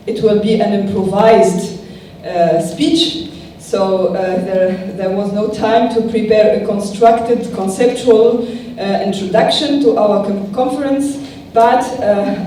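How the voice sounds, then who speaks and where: A woman's voice: medium pitch, mellow, slight background noise. A woman from Tunisia with a Tunisian accent, giving an improvised keynote speech, hesitant and thinking aloud with many fillers. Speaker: keynote speaker. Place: large conference hall. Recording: echoey hall from far off.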